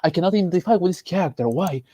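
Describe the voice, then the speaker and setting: A man from Peru with a Peruvian Spanish accent, giving casual opinions as a panelist in an emphatic, halting way, often repeating phrases. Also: high-pitched, expressive, mellow, no room sound. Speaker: panelist, artist.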